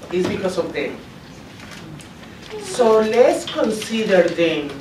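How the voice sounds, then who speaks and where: A middle-aged woman from Colombia with a Colombian accent, a native Spanish speaker, giving an emotive, deliberate motivational talk to parents and children and repeating phrases for emphasis. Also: mid-range voice. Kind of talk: motivational presentation. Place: school classroom, indoors. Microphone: camera some meters away. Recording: roomy, noisy classroom, distant mic.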